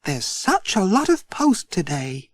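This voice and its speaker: A woman's voice: mid-range voice, sing-song, measured pace, no echo, pitched above the performer's own voice. A man voicing a cheerful, chatty middle-aged village postmistress in a storybook reading. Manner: chatty, teasing.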